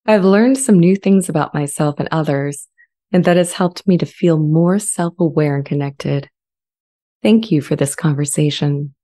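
Woman acting as sentient AI, female voice, deep-set, warm tone, calm, thoughtful, measured, dramatized reading. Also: natural voice. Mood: serene, thoughtful. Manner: measured, calm, reflective delivery. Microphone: large studio condenser mic up close.

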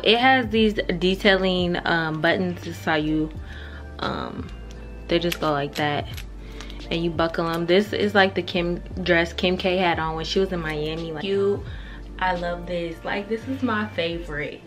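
A woman's voice: fairly low pitch, moderate pace. A young woman vlogging a fashion try-on in a bedroom, chatting casually and candidly with slang and swearing. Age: young adult. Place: carpeted bedroom indoors. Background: music.

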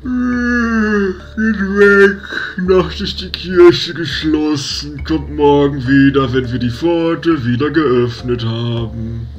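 A man as a slow, high-pitched flustered priest. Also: dull audio, soft background music. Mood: sleepy, grumpy. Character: timid, grumpy priest about thirty, roused from sleep. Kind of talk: German audio drama acting.

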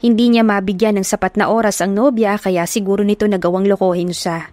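A woman narrating a romance audiobook in a steady, earnest storytelling manner, recorded on a close mic. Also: mid-range voice, a little fast, dry recording.

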